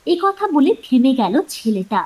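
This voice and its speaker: A woman narrator with a high, very animated voice. She reads a scripted suspense story in with dramatic, expressive phrasing. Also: moderate pace, husky.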